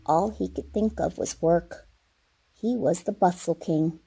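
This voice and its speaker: Woman acting an old grandmother, mellow dark tone, creaky, querulous, stubborn, radio play. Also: on the low side, unhurried, exaggerated voice. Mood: wistful, blunt. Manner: querulous, blunt.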